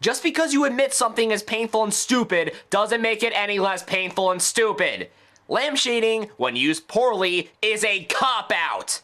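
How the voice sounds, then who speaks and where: A man's voice: high voice, lively intonation, normal speed, pushed and bright. A man delivering scripted review commentary with opinionated, sarcastic asides. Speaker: video reviewer.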